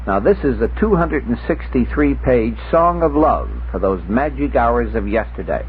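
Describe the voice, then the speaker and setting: A man's voice: medium pitch, expressive, normal speed. A man hosting a casual radio talk show, cutting in with banter. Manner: interrupting, bantering. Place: radio studio. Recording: tinny, noisy 1960s radio recording.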